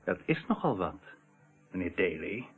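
A man's voice: low-pitched, big pitch swings, moderate pace, slightly rough, full character voice. An actor playing a smug, slippery criminal who feigns innocence in a radio play, theatrical in his delivery. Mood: cold, defiant. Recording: tinny, narrow-band old radio recording.

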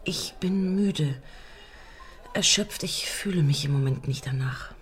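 A woman's voice: low voice, a little slow. An actress playing a guarded, weary but defiant woman agent in a German radio drama, formal and restrained. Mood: weary, hushed. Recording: crowd murmur behind the voice.

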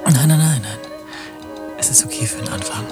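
A man's voice: medium pitch, moderate pace, over music, natural voice. An actor playing a neurotic, hesitant, cerebral man in a German radio play, speaking in an intimate, stilted intellectual way. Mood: nervous, hesitant.

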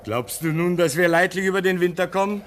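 A man's voice: sits high, a little fast, a little hiss or hum, lightly acted. An actor playing a practical, rugged man at a polar station in a German radio play, with a dramatic, earnest delivery. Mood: relieved, excited.

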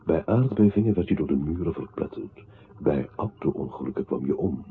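An actor playing a grave narrator in a poetic radio play, speaking in a measured, declamatory way. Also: male voice, very deep voice, expressive, slightly rough, exaggerated voice. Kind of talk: literary radio drama narration. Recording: tinny, band-limited old radio-play recording with noise.

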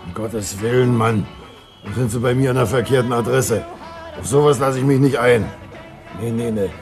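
An actor playing a rough, cocky ex-boxer crook in a German radio crime play, blunt and slangy. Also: male voice, medium pitch, normal speed, over music, natural voice. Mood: alarmed refusal. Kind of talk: 1950s to 80s German radio crime drama acting.

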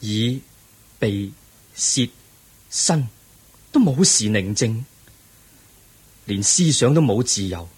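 An actor with a Hong Kong Cantonese accent playing a pensive, earnest young crown prince in a radio drama, speaking formally and reflectively. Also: male voice, fairly low pitch, lively intonation, slow pace, long pauses, a little mellow, breathy edge, heavily acted. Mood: brooding.

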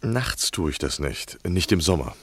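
Deep-voiced, courtly literary gentleman. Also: very animated, measured pace, slightly rough, no room sound, exaggerated voice. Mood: gallant, conversational. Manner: polite, formal, gallant, insistent. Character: courtly, persuasive male literary journalist, eagerly probing. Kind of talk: 1960 German radio play acting.

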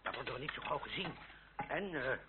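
An actor playing a stubborn, proud aristocratic chevalier with a sputtering, blustering manner in an old radio drama. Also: male voice, medium pitch, lively intonation, fast talker, natural voice. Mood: flustered. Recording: tinny, noisy old radio recording.

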